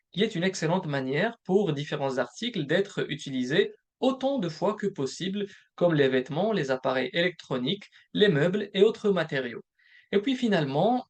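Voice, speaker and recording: A man presenting a recorded webinar as a program manager, explaining points one by one in a measured way. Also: a little high. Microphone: recorded presentation voice-over.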